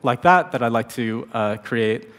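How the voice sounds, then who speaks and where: A man in his thirties, slightly hoarse from a cold, gives a technical conference talk at a podium. His middling pitch sits easily, and he talks in a relaxed way with plenty of fillers and restarts. The sound carries the long reverb of a big hall. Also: measured pace. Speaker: conference speaker. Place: large conference hall stage.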